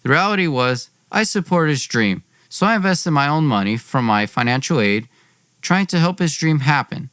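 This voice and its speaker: A man's voice: on the high side, animated, moderate pace, fairly bright tone, no echo. Young man telling a story, dry recording. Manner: informal, wry, acts out dialogue. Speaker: podcast host. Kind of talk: dramatic personal storytelling.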